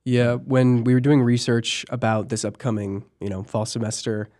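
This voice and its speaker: A young man hosting a casual podcast in a campus studio, a little hoarse from a cold and laughing often. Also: medium pitch, fairly even intonation, moderate pace, darkish tone. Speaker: podcast host.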